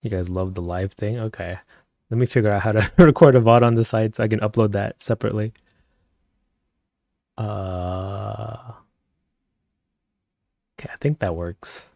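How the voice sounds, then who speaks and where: A man chatting casually with viewers on a live stream, speaking softly and keeping his voice down at night at home, and laughing often. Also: fairly even intonation, normal speed, gravelly, pauses often, dry recording, clean studio sound. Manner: soft-spoken, keeps voice low at night.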